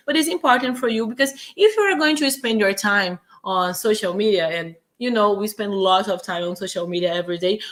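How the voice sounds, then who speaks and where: A woman's voice: medium pitch, a bit bright. A woman from Brazil with a Brazilian Portuguese accent, a native Portuguese speaker, teaching English in a chatty, casual way with many fillers and restarts. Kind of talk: casual online lesson. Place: home in Quebec.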